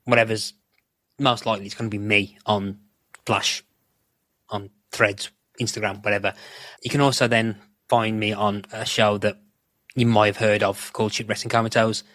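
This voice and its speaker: Low-voiced man, rambling podcast chat. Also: steady tone, a little fast, fairly bright tone, no echo. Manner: rambling, swears casually. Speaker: podcast guest.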